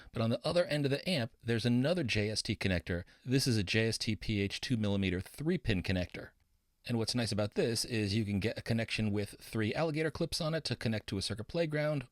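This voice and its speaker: A man's voice: medium pitch, a bit bright, no room sound, clean studio sound. A man narrating a scripted tutorial as an upbeat, enthusiastic instructor. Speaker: professor, instructor.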